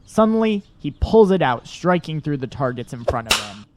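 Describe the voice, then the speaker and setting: A man's voice: fairly high pitch, very animated, moderate pace, very noisy. A man narrating a scripted audio drama story.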